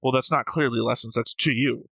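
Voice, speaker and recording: Male reaction co-host, crisp bright tone, dry sound. Casual, argumentative, nitpicking delivery. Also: mid-range voice, fairly even intonation, a little fast, dry recording. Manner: pedantic, correcting his co-host.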